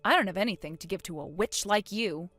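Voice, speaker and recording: A woman plays a fiercely protective mother in a melodramatic story. Her voice is bright and mid-pitched, with earnest, emphatic delivery in long speeches. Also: brisk, no room sound, soft background music, close to an everyday voice. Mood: cold, scornful. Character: fiercely protective, outspoken, emotional mother of a young son. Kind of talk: melodramatic audio story acting.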